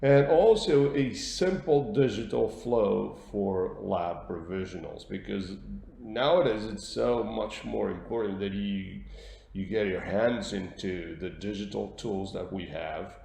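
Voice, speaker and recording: A man with a Portuguese accent presenting an instructional webinar as a dentist, repeating words for emphasis in halting phrases. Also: fairly low pitch, vocal fry, a little mellow. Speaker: dentist giving a webinar lecture. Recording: roomy echo with light background noise.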